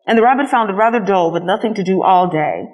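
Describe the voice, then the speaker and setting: A woman reads a children's storybook with a medium-pitched voice. Her delivery is scripted narration, told expressively as a storyteller would. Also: lively intonation, fast talker, soft background music, rough recording. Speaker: storybook reader.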